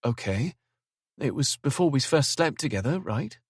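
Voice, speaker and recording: A man's voice: mid-range voice, big pitch swings, moderate pace, breathy edge, no echo, studio quality, pitched above the performer's own voice. A man voicing Zara, a composed young woman who speaks her mind steadily. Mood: firm. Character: young woman Zara, calm, firm, level-headed.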